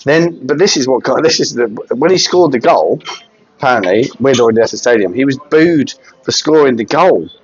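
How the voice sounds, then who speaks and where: A man's voice: mid-range voice, expressive, fairly quick, slightly rough. A man co-hosting a pub podcast who rambles through football stories, often restarting his sentences. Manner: rambling, self-correcting storyteller, dry. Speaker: podcast co-host. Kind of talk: casual football chat. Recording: dull lo-fi sound.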